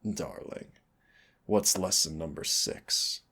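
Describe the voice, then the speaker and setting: A man playing a teasing, lovestruck winged fire demon in an intimate audio roleplay, with a deep, husky, gravelly voice. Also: slow pace, bright, forceful tone, full character voice. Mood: adoring, seductive. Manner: intimate, teasing, addresses listener as darling.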